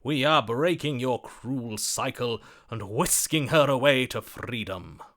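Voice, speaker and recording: A man's voice: mid-range voice, unhurried, fairly bright tone. A man playing a florid, passionate, lovestruck romantic in a video game, speaking in a theatrical, grandiloquent manner. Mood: passionate, defiant. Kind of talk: video game character acting.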